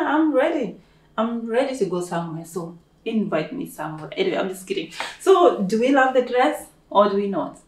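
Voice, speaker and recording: A woman's voice: medium pitch, a little mellow. A young woman with a Kenyan accent, chatting playfully and gushing to camera in her living room, recorded on the camera's own mic from a few feet away. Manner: playful, gushing, self-hyping. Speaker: vlogger. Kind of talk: casual chat to camera. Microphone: camera mic at a distance. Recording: echoey room.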